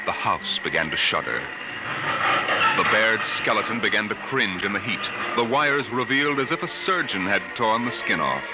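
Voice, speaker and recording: A man narrating a vintage radio drama in a grave, theatrical, deliberate manner. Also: fairly low pitch, expressive, very noisy. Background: music.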